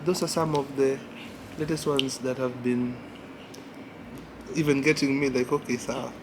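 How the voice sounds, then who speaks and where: A man's voice: mid-range voice, little pitch movement, unhurried, a little mellow, a little hiss or hum, low-quality recording. A man with a Kenyan accent, chatting casually and teaching slang, often repeating "yeah". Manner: laid-back, repeats words, lots of "yeah". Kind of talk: casual chat. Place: cafe at night.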